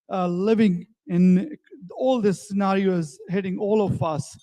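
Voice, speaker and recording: A man's voice: high-pitched, warm tone, rough recording. A man giving an earnest campaign closing statement as a council candidate, pleading for votes.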